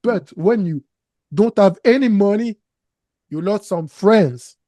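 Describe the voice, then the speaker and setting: Slow, earnest French-accented man. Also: sits high, dark tone, dry recording. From Canada. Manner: slow, earnest, emphatic, with non-native grammar slips. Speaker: guest, business coach. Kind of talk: interview conversation.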